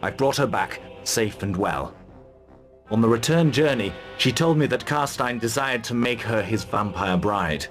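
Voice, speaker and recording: Stern mercenary commander, lowish voice, formal, terse, honourable, fantasy game, music behind. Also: male voice, expressive, measured pace, fairly bright tone. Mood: matter-of-fact. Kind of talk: fantasy video game cutscene acting.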